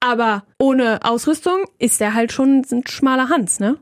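A woman's voice: on the high side, measured pace, no room sound, clean studio sound. A woman, chatting casually as an interviewer, blunt and colloquial with frequent tag questions. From Lower Rhine, Germany. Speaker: interviewer, host. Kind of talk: casual chat.

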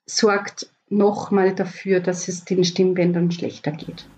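A woman with an Austrian accent, a voice coach giving calm, explanatory tips in a podcast interview. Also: lowish voice, a little slow. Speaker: voice coach guest.

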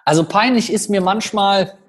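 A man with a Cologne accent talks on a podcast with a husky, slightly rough voice that sits a touch higher than most men's. He tells his stories in an animated way and throws in quick asides. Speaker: podcast guest, public speaker. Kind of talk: casual podcast conversation.

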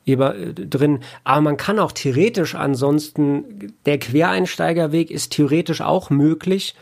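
Creaky-voiced man explaining in conversation. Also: on the high side. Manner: halting, repeats words and hedges as he thinks. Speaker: audio producer and sound engineer, podcast guest.

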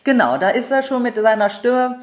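A woman's voice: on the high side, lively intonation, normal speed. A woman hosting a podcast interview, introducing her guest and asking questions in an informal, improvised conversational way.

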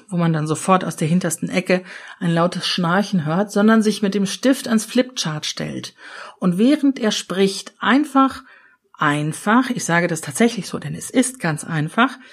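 A middle-aged German woman hosting a solo podcast, explaining ideas in a lively coaching manner and speaking straight to the listener. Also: medium pitch. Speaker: podcast host and change consultant.

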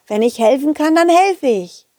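An eager, helpful little boy who stumbles over his words when excited, played by a woman. His voice is pitched high and lively, with lots of movement in the melody. The recording is dry and close-up. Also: normal speed, no echo. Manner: stammering, excited.

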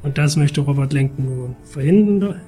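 Male host, casual reviewer. Mellow, relaxed, slightly high voice, chatty delivery, faint music behind. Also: moderate pace. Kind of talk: casual magazine show review.

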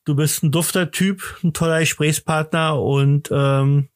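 A man's voice: fairly high pitch, steady tone, a little slow, dry recording, clean studio sound. A middle-aged man hosting a casual podcast, rambling and teasing, slightly tipsy. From Germany. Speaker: podcast host. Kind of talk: casual chat.

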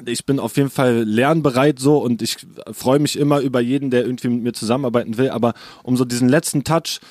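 A man's voice: mid-range voice, steady tone, fast talker, a little mellow, dry recording, studio quality. A young man, a rapper and producer, chatting casually and rambling in slang in an interview. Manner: slangy, rambling, says "Digga" a lot.

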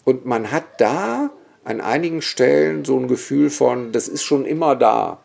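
A man's voice: medium pitch, animated, unhurried, gravelly, darkish tone. A man, a historian and guest, telling stories in a vivid, opinionated way with many asides. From Germany, Bergisch Gladbach area. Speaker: guest, historian and cemetery expert. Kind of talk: relaxed storytelling in conversation.

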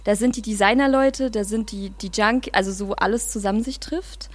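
A woman talking casually as an interview guest, often breaking off and restarting as she tells things in an animated way. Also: medium pitch, a little fast. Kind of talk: casual conversation.

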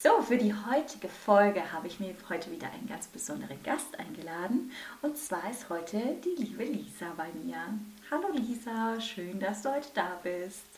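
A woman's voice: medium pitch, lively intonation, moderate pace, recorded from a distance, slight background noise. A woman hosting a wedding podcast in casual chat, gushing and laughing often as she asks her guest questions. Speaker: podcast host and wedding speaker. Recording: roomy echo with faint music.

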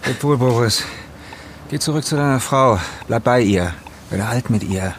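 A man's voice: medium pitch, normal speed, close to an everyday voice. An actor in an audio drama playing a guarded, bitter man bent on revenge, speaking tersely and with restraint. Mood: cold, bitter. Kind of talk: German audio drama acting. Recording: clear background noise, ambience.

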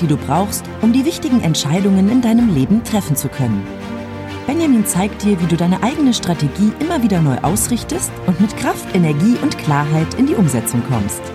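A woman reading a polished, scripted intro for a podcast in an upbeat announcer style. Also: medium pitch. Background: music bed.

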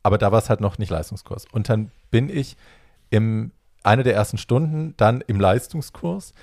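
A young adult man telling a personal story in casual chat, acting out the dialogue with lively energy. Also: fairly low pitch, normal speed, vocal fry, no echo, clean studio sound.

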